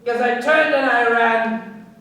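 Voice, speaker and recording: An actor playing a flustered, cornered French smuggler in a radio play, formal and pleading, with a French accent. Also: male voice, very high-pitched, steady tone, slow, bright, forceful tone, full character voice. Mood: desperate, pleading. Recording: echoey room, far from mic.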